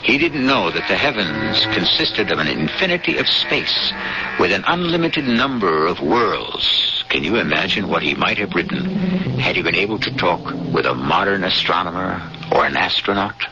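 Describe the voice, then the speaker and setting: A man's voice: sits low, sing-song, moderate pace, lo-fi sound, background noise. A man introducing a radio mystery story in a musing, theatrical way, on an old radio broadcast. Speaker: radio drama host.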